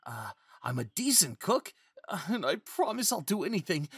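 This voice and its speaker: A man's voice: high-pitched, big pitch swings, normal speed, fairly bright tone, a little hiss or hum, heavily acted. A young man acting a timid, eager, naive male faun who stammers and pleads sweetly in an ASMR fantasy roleplay. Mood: anxious pleading. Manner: stammering, hesitant, childlike eagerness.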